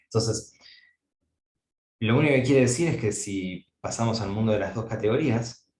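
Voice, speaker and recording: A man's voice: lowish voice, normal speed, darkish tone, echoey room. A man giving an academic mathematics lecture, thinking aloud with frequent fillers and restarts.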